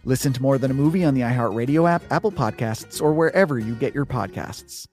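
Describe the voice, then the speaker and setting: A man's voice: mid-range voice, fast talker, a little mellow, soft background music. An American man hosting a podcast, reading a scripted promotional spot. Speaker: podcast host.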